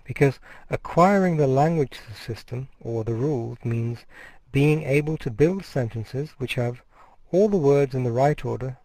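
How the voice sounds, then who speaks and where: A middle-aged man delivers a university lecture in a steady, explanatory way, now and then breaking off a word to restart it. His voice sits at an ordinary pitch with a soft, mellow tone. The sound is close and dry, with no room around it. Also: no room sound, poor audio. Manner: measured, explanatory, restarts words mid-phrase. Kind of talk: recorded course lecture.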